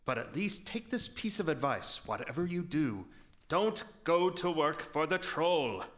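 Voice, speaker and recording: Man playing a pleading father, raised pitch. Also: big pitch swings, measured pace, a bit bright. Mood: pleading, earnest. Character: pleading, worried father advising his stubborn son. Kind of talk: audio drama folktale acting.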